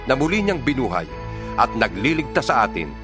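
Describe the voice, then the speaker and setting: A man's voice: mid-range voice, big pitch swings, a little fast, music in the background. A man with a Filipino accent giving a solemn, earnest dramatized reading of scripture as a scripted narrator.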